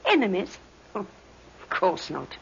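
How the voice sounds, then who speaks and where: An actress playing a British widow in a radio crime drama, with a deliberate, genteel, faintly scornful manner. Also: female voice, medium pitch, big pitch swings, creaky, full character voice. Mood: cool, wry. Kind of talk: old-time radio drama acting. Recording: muffled old radio recording with hiss.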